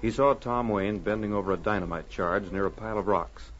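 A man narrating and announcing a 1940s radio drama from a script, formal and measured. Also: fairly low pitch. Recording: dull old radio transcription.

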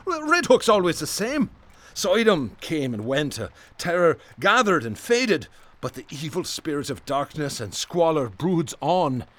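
An actor playing a haunted, bitter, obsessive police detective in a radio drama, with brooding, florid, theatrical speech. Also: male voice, fairly high pitch, big pitch swings, unhurried, fairly bright tone. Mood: fervent, bitter.

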